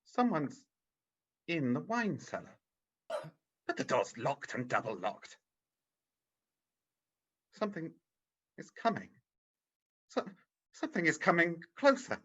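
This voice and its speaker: A performer playing a crotchety, fearful old man in a radio drama. The voice is tense and forceful, swinging widely in pitch at a slow, halting pace, through slightly muffled call audio. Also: very animated, breathy edge, full character voice. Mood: frightened. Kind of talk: radio-play acting.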